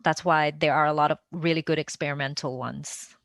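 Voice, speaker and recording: A woman's voice: sits low, steady tone, brisk, a bit bright, dry recording, studio quality. A woman interviewer asking casual questions in a tentative way, rephrasing as she goes. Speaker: interviewer, podcast host.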